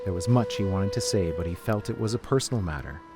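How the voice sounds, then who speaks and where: Deep-voiced, animated man narrating a story. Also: fast. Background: music.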